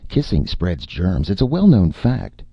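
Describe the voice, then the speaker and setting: A man's voice: deep voice, very animated, moderate pace, creaky, husky, no echo, muffled recording, voice pushed up, full character voice. A man voicing a cowardly, easily panicked man in a story, with hesitant, stammering delivery and shaky bravado. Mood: nervous, hesitant.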